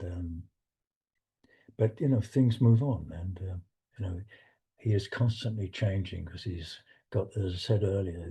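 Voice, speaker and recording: An older man with a deep, very husky voice talks reflectively in an interview. His tone is on the dark, soft side, and he moves haltingly through his memories, circling back over words. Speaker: musician recalling past studio sessions.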